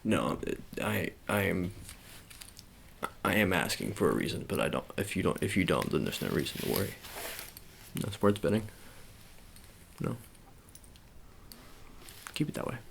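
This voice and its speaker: Low, creaky man doing coaxing ASMR roleplay. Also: sing-song, slight background noise. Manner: halting, casual, coaxing, repeats phrases. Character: shady, coaxing NFL agent. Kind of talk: soft-spoken ASMR roleplay as a sports agent, with some whispering.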